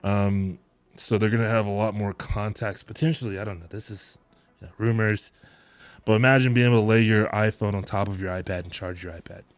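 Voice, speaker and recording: Relaxed deep-voiced man streaming live. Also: brisk, no echo. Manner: relaxed, rambling, chuckles often. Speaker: live-streaming tech deals host. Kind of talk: casual live-stream chat. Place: home streaming room. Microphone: boom mic close to mouth, headphones on.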